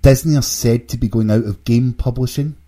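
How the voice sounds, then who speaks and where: A man with a husky voice hosting a casual gaming news discussion. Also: mid-range voice, fairly quick, dark tone. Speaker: podcast host.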